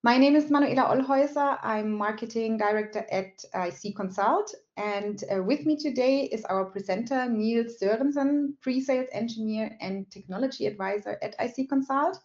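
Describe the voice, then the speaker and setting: A woman introduces a webinar as its moderator, running through housekeeping points in a friendly, brisk way with a few fillers. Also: a little high, a little mellow. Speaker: marketing director, webinar moderator. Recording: dull lo-fi webinar audio.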